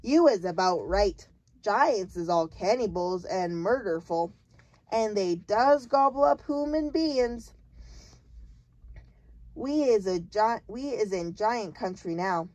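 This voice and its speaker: A woman voicing a huge, jolly male giant who booms his lines and mangles his grammar with made-up words. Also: animated, slow, darkish tone, no room sound. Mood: booming.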